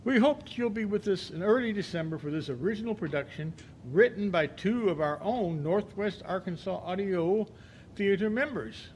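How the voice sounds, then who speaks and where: A man playing an upbeat herald who reads a promotional announcement in a brisk style. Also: high-pitched, slight background noise. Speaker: announcer in an audio play.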